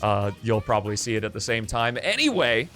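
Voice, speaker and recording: A young man chatting casually on a live stream, loud and rambling with lots of fillers and jokes, in a small foam-treated room. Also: medium pitch, very animated, brisk, soft background music.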